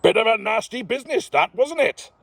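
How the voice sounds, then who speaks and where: A man voicing a sly, genial male underworld fairy lord, slow and knowing. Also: very high voice, expressive, vocal fry, fairly bright tone, breathy edge, dry recording, pitched above the performer's own voice, exaggerated voice.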